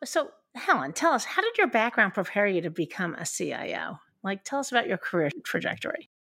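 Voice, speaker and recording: A woman hosts a casual interview with a very lively, up-and-down voice. She encourages her guest and keeps prompting her with questions. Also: medium pitch, a little fast, gravelly, husky. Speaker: interview host.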